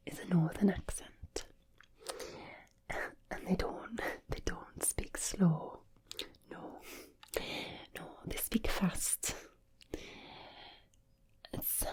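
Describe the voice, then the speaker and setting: A woman's voice: fairly low pitch, darkish tone, husky, full character voice. A woman voicing a soothing Newcastle woman with a sing-song Geordie accent, whispering for ASMR.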